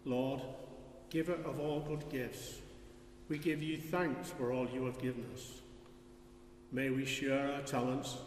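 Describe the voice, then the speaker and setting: Older man praying, distant and echoey. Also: medium pitch. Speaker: lay reader of prayers. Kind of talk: read intercessory prayers. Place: large stone church, lectern. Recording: distant, echoey church, faint noise.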